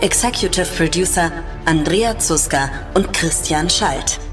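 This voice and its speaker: A woman's voice: on the low side, measured pace. A woman announcer reading scripted podcast credits. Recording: music under the voice. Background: music.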